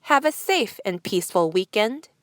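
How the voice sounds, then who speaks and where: A woman's voice: mid-range voice, very animated, normal speed, fairly bright tone, no room sound, studio quality. A woman news presenter reading a scripted news bulletin.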